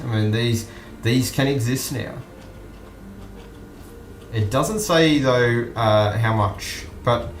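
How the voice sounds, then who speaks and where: An Australian man hosting an online news stream, commenting casually and off the cuff in a halting, musing way. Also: medium pitch, a little hiss or hum. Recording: roomy echo with music behind.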